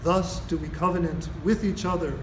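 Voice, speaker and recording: A man giving a solemn ceremonial church reading as a worship associate, in measured, declamatory phrases. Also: on the high side, fairly even intonation, background noise. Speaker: worship associate leading chalice lighting. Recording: echoey room.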